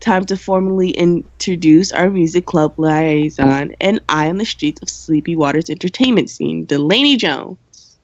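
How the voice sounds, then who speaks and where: A young woman playing a dry, cynical high school senior girl who co-hosts a campus radio show in an amateur radio play. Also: normal speed, no room sound, dull audio. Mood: announcing.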